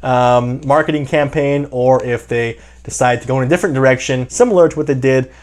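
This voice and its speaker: A man in his thirties, hosting a fast food channel, casually talks through a ranking chart, filling his pauses with many "uh"s and "um"s. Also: mid-range voice, a little mellow. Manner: chatty, many "uh" and "um" fillers, thinking aloud. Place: indoors, in front of a green screen.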